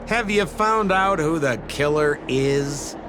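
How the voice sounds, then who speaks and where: A man's voice: fairly high pitch, expressive, takes it slowly, background noise. An actor playing a wry, puzzled young male detective's assistant in a video game, musing hesitantly. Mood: wry, doubtful. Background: game music.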